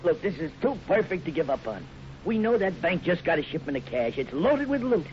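A man's voice: fairly high pitch, big pitch swings, brisk, darkish tone. A man playing a crafty, persistent small-town bank robber in a 1950s radio western, talking in slangy villain fashion. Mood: scheming. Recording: muffled, slightly noisy old radio recording.

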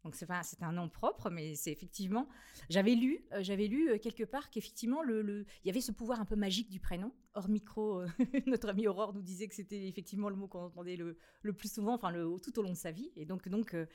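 A woman host leading a morning interview. Her scripted opening flows smoothly, while her follow-up questions come with small hesitations and restarts. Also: medium pitch, fast talker, darkish tone. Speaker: radio host. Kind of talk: read introduction, then interview questions.

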